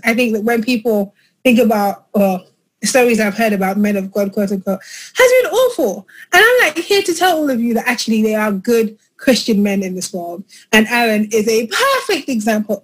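A high-pitched woman hosts a podcast in a gushing, effusive way. Her voice wobbles with emotion as she chats casually, and the sound comes through a remote call. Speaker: podcast host.

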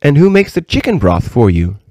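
An inquisitive little princess from a storybook, acted by a man raising his pitch. She sounds innocent and eager, forever asking questions, in a dry, close recording. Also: big pitch swings, a little fast, warm tone, no echo. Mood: curious. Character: curious, eager little princess, a young girl.

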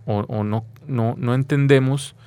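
A man's voice: medium pitch, steady tone, measured pace, dark tone. A man with a Colombian accent explaining things didactically as an interview guest, often checking with short tag questions.

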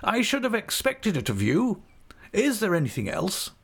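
A man's voice: high-pitched, huge pitch swings, moderate pace, creaky, a bit bright, pitched above the performer's own voice, heavily acted. A man reading an audiobook, voicing a pompous, self-satisfied middle-aged male prison official. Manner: pompous and approving.

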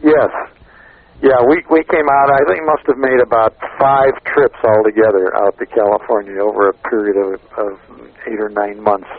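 An American man telling casual anecdotes in a halting, meandering way, repeating phrases as he goes. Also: fairly low pitch, fairly even intonation, a little hiss or hum. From United States, Chicago area. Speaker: radio interviewer, guest recalling past interviews. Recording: lo-fi narrow radio or phone sound.

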